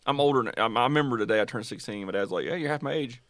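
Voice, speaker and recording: A man in his thirties who talks fast and keeps jumping into the chat as a podcast co-host. His voice sits at an ordinary pitch. The sound is dry and close. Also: a bit bright, no room sound. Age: 30s. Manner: quick, chatty, interjects often. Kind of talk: casual chat.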